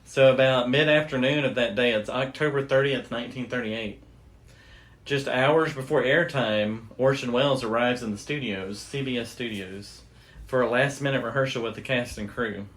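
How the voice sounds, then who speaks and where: A man's voice: medium pitch, moderate pace, a bit bright. A man hosting a podcast, telling a story in a casual, informal and loosely phrased way. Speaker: podcast host.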